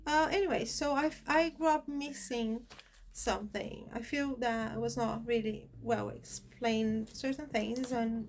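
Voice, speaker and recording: Brazilian woman talking haltingly to camera. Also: on the high side, a little hiss or hum. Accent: Brazilian Portuguese. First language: Portuguese. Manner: hesitant, searching for words, many fillers. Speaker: channel host. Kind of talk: personal talk to camera.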